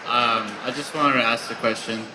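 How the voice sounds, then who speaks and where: A man's voice: mid-range voice, steady tone, moderate pace, heavy background noise. A young man, a student in the audience, hesitantly introducing himself and asking the speaker a question.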